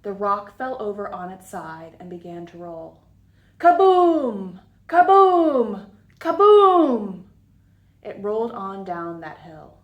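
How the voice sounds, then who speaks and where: Lady doing story time for kids, slow n expressive, little asides. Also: medium pitch, narrow, tinny audio. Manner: animated, deliberate storytelling. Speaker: children's story time reader. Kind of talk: expressive storybook read-aloud with asides to children.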